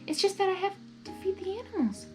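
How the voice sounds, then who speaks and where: A woman voicing a shy, gentle female pegasus pony from a cartoon fan story in a soft, hesitant voice. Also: expressive, fast, faint music behind. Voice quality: soft, small, high voice. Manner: hesitant, trailing off.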